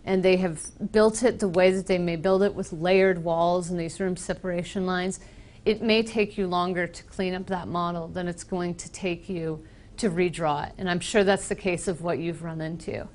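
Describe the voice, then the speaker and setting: A woman from Boston with an American accent, giving a casual, explanatory software presentation with frequent ums. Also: lowish voice, fairly even intonation, moderate pace. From Boston, United States. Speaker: software trainer. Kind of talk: conference presentation.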